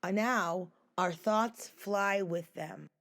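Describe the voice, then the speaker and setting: A woman on a school staff reading a picture book aloud, slow and gentle. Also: medium pitch. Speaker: staff reader.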